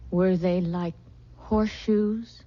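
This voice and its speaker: An actress playing a grieving, withdrawn young widow in an old-time radio drama, dreamy and brooding with an obsessive intensity. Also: female voice, fairly low pitch, fairly even intonation, slow. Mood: haunted, insistent. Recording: muffled, noisy old radio recording.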